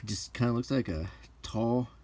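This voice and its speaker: A middle-aged man giving casual, unscripted commentary as he leafs through a book, rambling and halting, musing aloud in short phrases. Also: medium pitch, mellow. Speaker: host of a hobby channel.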